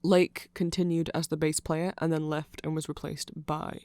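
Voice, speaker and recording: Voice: medium pitch, flat delivery, moderate pace, warm tone, smooth, no room sound. Chatty, nerdy ASMR rambler, dry close sound. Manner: chatty, self-correcting fan enthusiasm. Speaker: host explaining band lore to the listener. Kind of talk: rambling ASMR explainer, casual and unscripted.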